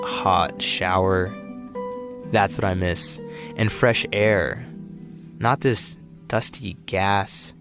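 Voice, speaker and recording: An actor playing a weary, despairing space flight attendant in an audio drama, speaking in a halting, dejected, grumbling way. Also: male voice, fairly low pitch, vocal fry, exaggerated voice. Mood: wistful, grumbling. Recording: music behind the voice.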